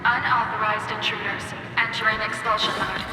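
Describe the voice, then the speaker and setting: A woman's voice: medium pitch, flat delivery, fairly quick. A woman voicing a cold security machine in a video game, giving stiff, commanding announcements with heavy reverb. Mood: stern alarm. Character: cold female security machine issuing alarms. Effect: echoing announcement reverb. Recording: distant, reverberant, game music behind.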